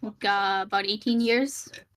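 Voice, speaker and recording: A woman's voice: a little high, normal speed. A woman from Sri Lanka, a streamer, talking over a voice call in a casual debate, hesitant, with many fillers and restarts.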